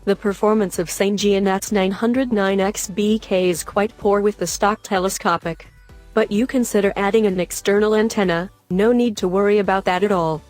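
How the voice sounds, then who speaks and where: A woman's voice: medium pitch, fairly even intonation, moderate pace, darkish tone. A woman reading scripted narration as the voice-over for a product review. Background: background music.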